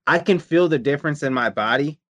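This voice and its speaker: A man's voice: a little high, a little fast, fairly bright tone. A man chatting casually about his diet and workouts, rambling and profane, often repeating himself. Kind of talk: casual chat.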